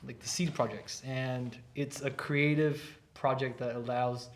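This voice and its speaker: A man's voice: mid-range voice, steady tone, unhurried, a little hiss or hum. Chatty man explaining at length. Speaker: art instructor. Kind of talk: casual live stream chat. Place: art academy studio.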